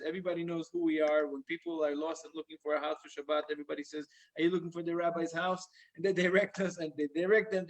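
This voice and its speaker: Earnest, halting man talking casually. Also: fairly high pitch, vocal fry, poor audio. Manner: halting, restarts phrases, earnest. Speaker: rabbi. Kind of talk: casual live-stream chat.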